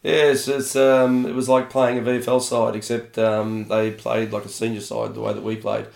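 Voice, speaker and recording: Gravelly Australian man with dry jokes. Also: on the low side, little pitch movement, moderate pace, darkish tone, roomy sound. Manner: dry, wisecracking. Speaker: podcast co-host. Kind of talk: casual sports chat.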